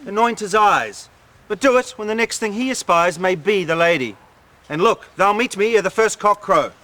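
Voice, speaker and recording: An imperious fairy king, voiced by a man, speaking old verse with solemn authority. His voice is bright and lively in its pitch, high for a man. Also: moderate pace. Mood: commanding. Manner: solemn, giving orders. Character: commanding male fairy lord, imperious. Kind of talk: Elizabethan verse drama.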